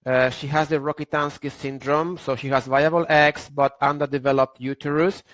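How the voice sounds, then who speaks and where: A man's voice: a little high, little pitch movement, normal speed, fairly bright tone, no echo. A man moderating a webinar, politely reading out audience questions with fillers.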